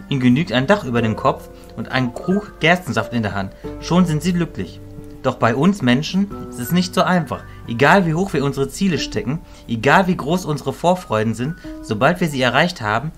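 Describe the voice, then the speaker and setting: Man acting a male mentor, calm, measured, reassuring, fantasy audio drama, clear music behind. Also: medium pitch, lightly acted.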